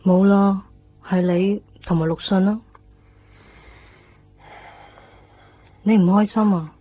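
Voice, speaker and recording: A woman's voice: medium pitch, steady tone, normal speed, slight background noise, close to an everyday voice. Woman acting a wistful young woman, slightly muffled recording. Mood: tender, wistful. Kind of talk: Cantonese radio drama narration and dialogue. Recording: dull lo-fi radio recording, music behind.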